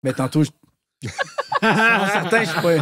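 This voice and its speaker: A man in his late thirties with a Québécois accent, a guest musician talking casually on a podcast, intense and trailing off softly at the ends of phrases. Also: sits high, expressive, a little slow, a crowd in the background. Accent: Québécois French. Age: 30s.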